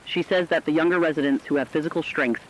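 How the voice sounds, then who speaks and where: A man reading a scripted, reflective monologue as a radio host, solemn, earnest and deliberate. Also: on the high side, slight background noise. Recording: dull, band-limited radio-like sound.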